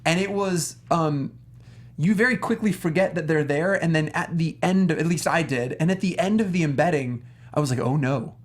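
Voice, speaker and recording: A man's voice: fairly high pitch, normal speed. A man on a discussion panel, talking casually about films in an earnest, rambling, conversational way. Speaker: talk show panelist.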